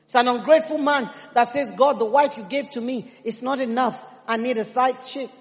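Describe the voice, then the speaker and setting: A woman's voice: on the high side, moderate pace. A Nigerian woman in her fifties preaching a sermon, emphatic and humorous, with a Nigerian accent. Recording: long reverb in a big hall.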